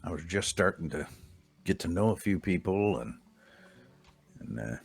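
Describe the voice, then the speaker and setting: A man with a deep, gravelly voice roleplays a frontier drifter, leaning on folksy cowboy phrasing and easy teasing. His rough, low tone carries over faint game music. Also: expressive, normal speed. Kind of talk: in-character western roleplay chat.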